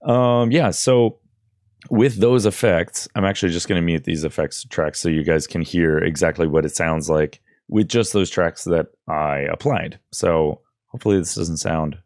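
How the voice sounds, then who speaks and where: A man with a deep voice talks through an audio tutorial. He is informal and a bit hesitant, recorded close and dry at his desk. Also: moderate pace, a little mellow, no echo. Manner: informal, hesitant, loosely explaining. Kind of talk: casual screen-recorded tutorial. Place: indoors at a computer desk. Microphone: close boom-arm microphone with headphones.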